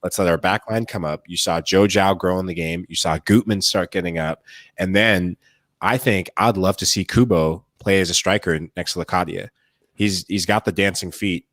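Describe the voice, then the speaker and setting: A man's voice: low-pitched, normal speed, no echo. A man co-hosting a soccer podcast, talking through tactics in long flowing runs and acting out what players and coaches might say. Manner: animated, talks in long flowing runs with acted-out quotes. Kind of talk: casual analytical chat.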